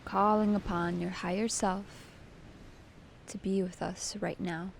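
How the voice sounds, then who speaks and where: A woman leading a guided meditation with gentle, soothing, unhurried instruction. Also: medium pitch, fairly even intonation, gravelly, slight background noise.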